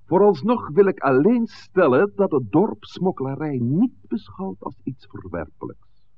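A man acting a calm, authoritative village priest in a 1960s radio play, speaking deliberately and oratorically. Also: on the high side. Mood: measured, firm. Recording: tinny, noisy 1960s radio recording.

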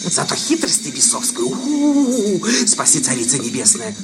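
An actor playing a jealous, superstitious village sexton in a radio drama, accusing and sputtering with sing-song exclamations. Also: male voice, a little high, wildly swooping pitch, normal speed. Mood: agitated warning. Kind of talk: theatrical radio drama dialogue. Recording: loud music behind the voice.

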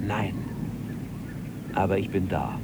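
An actor playing a fretful, self-righteous middle-aged archivist in a German radio drama, arguing in a pedantic, declamatory way. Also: male voice, deep voice, slow pace, creaky, heavily acted. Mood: pedantic, defensive. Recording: noisy cassette copy of radio drama.

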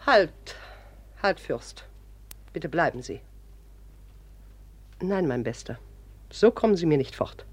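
A commanding, indignant aristocratic mother in a radio drama. Her voice is husky, and she speaks with formal, haughty authority. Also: deep voice, big pitch swings, takes it slowly, pauses often, darkish tone, heavily acted. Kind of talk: German radio drama acting.

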